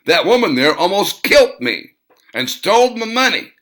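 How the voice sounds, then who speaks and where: A man voicing a loud, indignant bleached-blonde woman who screams and repeats herself in an audiobook. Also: sits high, very animated, normal speed, pitched above the performer's own voice. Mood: shrieking.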